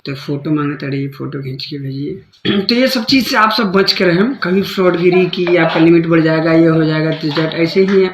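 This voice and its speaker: A man's voice: fairly high pitch, moderate pace. Echoey Bhojpuri-accented man, noisy recording. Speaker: content creator warning followers about scam calls. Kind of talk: casual phone call and informal talk to viewers. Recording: echoey, clearly noisy phone call recording.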